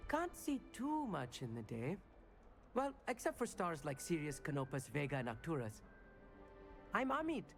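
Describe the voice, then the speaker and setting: A man's voice: on the high side, huge pitch swings, moderate pace, faint music behind. A man playing an eager, bookish teenage boy at a wizard school, earnest and polite in a game. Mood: eager, friendly. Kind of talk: scripted game dialogue.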